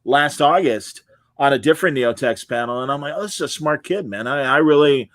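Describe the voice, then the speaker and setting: A man's voice: mid-range voice, fairly quick, fairly bright tone, no room sound. Middle-aged male host, dry close sound. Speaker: podcast host and interviewer. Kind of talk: casual interview chat.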